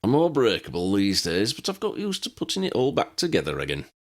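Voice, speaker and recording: A man's voice: on the low side, huge pitch swings, brisk, voice pushed up. A man voicing a short, tremulous old wizard pilot, fussy and obliging, in a storybook reading. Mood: reassuring. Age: older. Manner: chatty, repeats himself.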